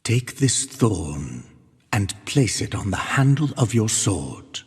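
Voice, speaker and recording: Dark-fantasy game penitent: husky, breathy, very slow and solemn, ringing in a long cavernous reverb. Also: male voice, lowish voice, very animated, heavily acted. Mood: grave, foreboding. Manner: solemn, measured, portentous. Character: solemn ancient penitent narrator and witness, grave and devout. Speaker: narrator. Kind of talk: archaic scripted video game narration.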